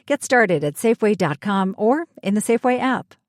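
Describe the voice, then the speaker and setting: A woman's voice: medium pitch, lively intonation, normal speed, no echo, studio quality. A woman reading a scripted sponsorship message as an announcer.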